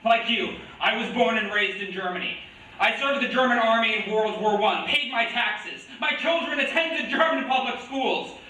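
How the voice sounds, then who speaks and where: Stage drama: woman as impassioned, grieving accuser, sharp bright voice, heard from far off in a very echoey room. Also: on the high side, normal speed. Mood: bitter. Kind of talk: staged play reading. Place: indoor room at a live staged reading, distant mic.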